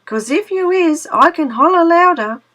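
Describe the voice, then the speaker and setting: A woman voicing a cocky, wily male rabbit with a loud, folksy drawl. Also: a little high, lively intonation, moderate pace, voice pushed up. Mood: indignant.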